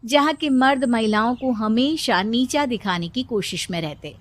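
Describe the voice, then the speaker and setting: A woman's voice: fairly high pitch, normal speed, no echo. A woman reading scripted story narration for a cartoon.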